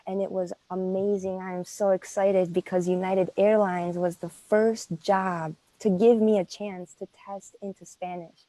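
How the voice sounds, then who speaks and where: A woman's voice: mid-range voice, flat delivery, unhurried, few pauses, warm tone, no echo, rough recording. A woman, a native Greek speaker, talking earnestly in a casual interview, with many fillers and restarts. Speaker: interview guest.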